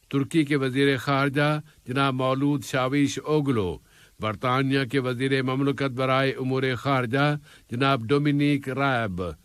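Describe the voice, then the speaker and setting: A man reading a scripted news bulletin in a formal, measured, literary register. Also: mid-range voice, fairly bright tone, no echo. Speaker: news reader.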